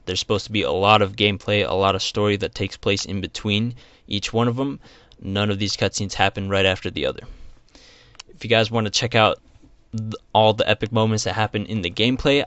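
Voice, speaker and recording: Guy doing a youtube intro voiceover, kinda low voice, measured, very dry sound. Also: fairly bright tone, no echo. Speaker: video channel host. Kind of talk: introductory voice-over to viewers.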